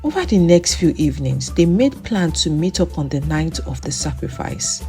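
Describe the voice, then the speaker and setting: A woman tells a children's folktale in a calm, steady storytelling voice. Her voice sits on the low side, and quiet music plays underneath. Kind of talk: scripted folktale narration for children.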